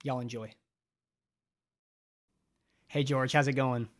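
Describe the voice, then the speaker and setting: A man's voice: medium pitch, steady tone, takes it slowly. A man hosting a casual podcast interview, upbeat and enthusiastic. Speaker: podcast host.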